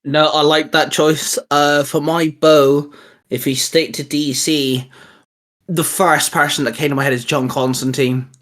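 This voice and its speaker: A man's voice: fairly high pitch, flat delivery, unhurried, a bit bright. A young man co-hosting a casual geeky chat, rambling with lots of fillers and restarts. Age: young adult. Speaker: channel co-host.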